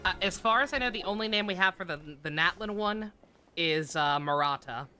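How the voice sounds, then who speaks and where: Guy streaming a game, really bright voice, rambly and unsure, bit of music under him. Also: high voice, brisk. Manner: rambling, hesitant, trails off mid-thought. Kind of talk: casual livestream commentary over gameplay.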